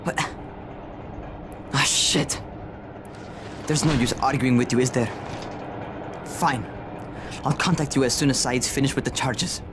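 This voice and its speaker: A man's voice: mid-range voice, a little slow, pauses often, background noise, close to an everyday voice. An actor playing a headstrong, reckless young man in a video game, speaking informally and impulsively. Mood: sulky. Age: young adult.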